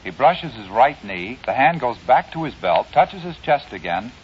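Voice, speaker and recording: A man's voice: mid-range voice, very animated, moderate pace. An American man as announcer, reading scripted lines in a 1950s radio style. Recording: dull vintage radio recording.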